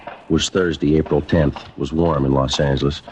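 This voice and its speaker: An actor with an American accent playing a terse, world-weary police sergeant in a 1950s radio crime drama, clipped and matter-of-fact. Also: male voice, very deep voice, steady tone, moderate pace, noisy background. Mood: deadpan. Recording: muffled old radio recording with music behind.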